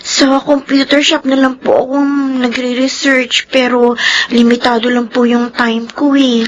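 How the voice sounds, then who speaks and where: Whiny, high-voiced teenage girl. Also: little pitch movement, unhurried, a little hiss or hum. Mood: meek, downcast. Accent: Filipino. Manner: whiny, sulky. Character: resentful, envious teenage schoolgirl daughter, self-pitying and whiny. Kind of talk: Filipino radio drama acting.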